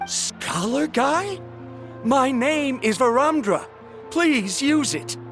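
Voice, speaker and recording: A man's voice: very high voice, lively intonation, slow, a bit bright, heavily acted. An actor playing a proud, stubborn male scholar in an anime video game, formal and precise in manner. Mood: indignant. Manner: formal, precise, slightly stiff. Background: music.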